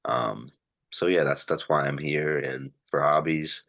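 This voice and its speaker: A man's voice: rumbling low, a little slow, creaky, fairly bright tone. A man giving an easygoing self-introduction to an online class over a video call. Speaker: student.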